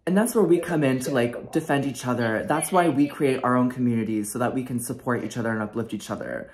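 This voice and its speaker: Passionate, preachy woman with an extremely low voice. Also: fast, a little mellow. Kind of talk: reunion panel chat.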